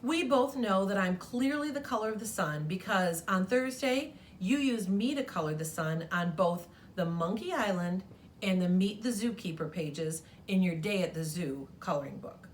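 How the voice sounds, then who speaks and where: A woman's voice: mid-range voice, animated, moderate pace, slight background noise, lightly acted. A woman voicing a smug orange crayon from a storybook, emphatic and scornful of its rival.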